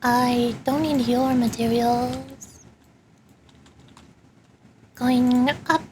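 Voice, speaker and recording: A woman's voice: a little high, flat delivery, drawn-out words, dark tone, a little hiss or hum. A woman chatting casually and playfully as she livestreams a video game, rambling to herself and her viewers. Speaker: streamer, VTuber. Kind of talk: casual gameplay livestream chat.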